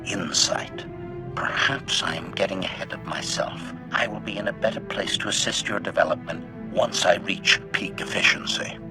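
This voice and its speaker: Gravelly, clipped killer droid. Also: male voice, low-pitched, normal speed, over music. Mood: cool. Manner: clipped, labels each remark with one word. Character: cold assassin droid, male, murderous and formal. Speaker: combat droid companion. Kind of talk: video game voice acting.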